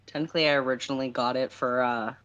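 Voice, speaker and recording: Female livestream co-host with a strikingly low voice, casual and playful. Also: steady tone, fast talker, a bit bright, dull audio.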